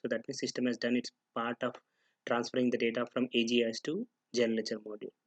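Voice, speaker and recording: A man giving an unscripted, step-by-step software tutorial, halting and pausing mid-sentence as he goes. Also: mid-range voice, little pitch movement, dry recording.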